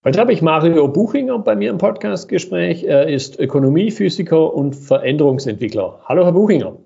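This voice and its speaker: A man hosting a business podcast, reading a scripted intro and then asking measured questions with some repetitions. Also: a little high, expressive, gravelly, mellow, slightly rough, highs cut off. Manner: measured, asks questions with many hesitations and repetitions. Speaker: podcast host.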